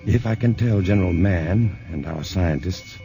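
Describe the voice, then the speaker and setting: A man's voice: low-pitched, normal speed, over music, lightly acted. A man playing a level-headed scientist in a 1950s radio drama, speaking steadily and reassuringly. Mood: tense. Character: level-headed male scientist, adult, calm and protective. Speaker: scientist hero in a radio play. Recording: muffled old radio broadcast with faint hiss.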